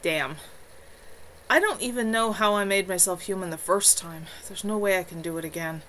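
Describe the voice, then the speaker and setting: A woman's voice: medium pitch, moderate pace, sharp tone, slight background noise, natural voice. A woman voicing a pessimistic young man who sounds glum and resigned.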